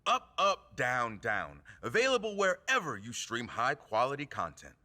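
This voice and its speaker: A man's voice: medium pitch, huge pitch swings, moderate pace, bright, forceful tone, heavily acted. A man playing a brash, mocking wrestler and gamer in a video game, with emphatic, taunting, theatrical delivery. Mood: challenging. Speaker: rival wrestler who hosts a gaming show. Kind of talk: scripted video game cutscene acting.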